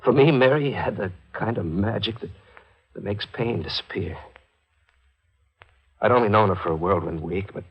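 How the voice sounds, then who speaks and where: An actor playing a guilt-ridden, haunted newspaper reporter in a halting, agonized 1940s radio mystery style. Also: male voice, fairly low pitch, slightly rough, highs cut off. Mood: weary, brooding.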